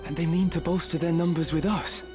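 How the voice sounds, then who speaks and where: An actor playing an earnest young noble cadet knight in a fantasy game, with formal, archaic diction. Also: male voice, on the high side, fairly even intonation, brisk, darkish tone, soft background music, lightly acted. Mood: curious.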